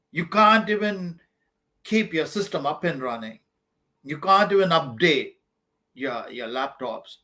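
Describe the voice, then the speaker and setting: A man with a fairly high voice gives informal advice in a question-and-answer session. He is emphatic and blunt and keeps repeating his points. He often calls his listeners "man". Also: a bit bright. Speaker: study-abroad advisor answering student questions.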